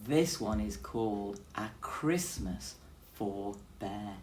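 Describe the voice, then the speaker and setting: A woman's voice: very deep voice, lively intonation, takes it slowly, darkish tone, a little hiss or hum. A woman teacher reading a story aloud expressively, speaking directly to young children.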